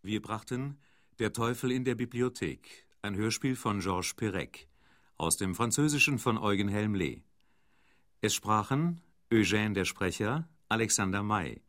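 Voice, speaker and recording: A man reading scripted broadcast credits as a radio announcer in a formal, measured way. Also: on the low side, fairly bright tone, no room sound, studio quality. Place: radio studio.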